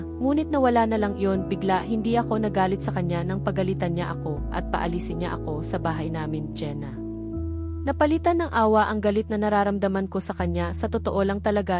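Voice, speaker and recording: A woman's voice: medium pitch, lightly acted. A woman with a Filipino accent voicing an earnest, hurt young woman confiding at length in an audiobook story. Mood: forgiving, sad. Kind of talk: audiobook dialogue. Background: background music.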